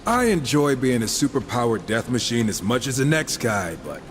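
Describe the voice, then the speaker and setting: A man's voice: mid-range voice, very animated, moderate pace, faint music behind, close to an everyday voice. An actor playing a wisecracking, irreverent horseman of the apocalypse in a game, with sarcastic, casual banter. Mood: wry. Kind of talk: video game cutscene acting.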